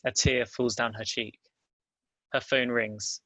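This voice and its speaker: Man, screenplay narrator, stage directions, bright forceful tone, flat even pitch, dry recording. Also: slow, no echo.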